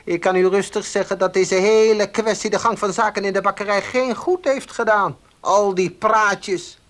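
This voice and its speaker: A man's voice: sits high, fairly even intonation, moderate pace, a bit bright. An actor playing a pushy, indignant village baker in a radio play, insistent and bossy in his delivery. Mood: determined. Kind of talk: Dutch radio play acting.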